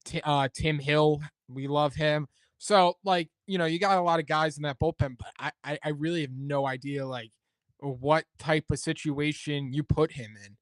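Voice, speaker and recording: A man's voice: fairly high pitch, fairly even intonation, moderate pace, no echo. A man hosting a sports talk show, chatting casually and thinking aloud as he weighs his opinions. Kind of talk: casual sports commentary chat.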